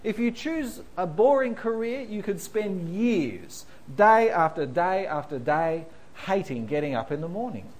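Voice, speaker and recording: Lively self-mocking preacher. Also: male voice, sits high, measured pace, few pauses. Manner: self-deprecating storyteller, animated, laughing through anecdotes. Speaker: pastor. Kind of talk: sermon with humorous stories.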